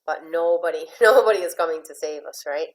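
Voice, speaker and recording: A woman's voice: on the low side, brisk. A woman coach from Venezuela giving a motivational talk to a group, chatty, often repeating words and laughing lightly between phrases. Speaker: life and relationship coach.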